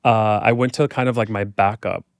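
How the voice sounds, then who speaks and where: Guest performer, man, smooth crisp voice, relaxed personal anecdotes with self-mocking asides, very dry recording. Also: on the low side, fairly quick, no room sound. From American Midwest. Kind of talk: casual chat, personal storytelling.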